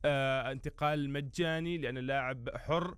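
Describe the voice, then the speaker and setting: A man's voice: medium pitch, a little fast, a bit bright, clean tone, no room sound. A man co-hosting a live sports radio show, presenting football talk and news.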